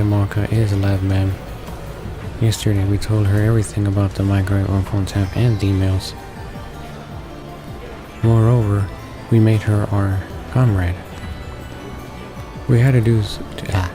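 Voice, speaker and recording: Man, low voice, little pitch movement, let's play read-along of a visual novel, occasional stumbles, music in the background. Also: flat delivery, moderate pace, long pauses. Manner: stumbles over words while reading. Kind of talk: reading game text aloud.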